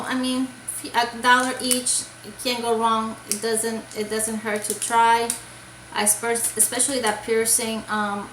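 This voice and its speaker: A woman's voice: a little high, a bit bright, a little hiss or hum. Chatty woman vlogger in an echoey room. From Puerto Rico. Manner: rambling, many fillers and restarts. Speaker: crochet vlogger. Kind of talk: casual show-and-tell chat.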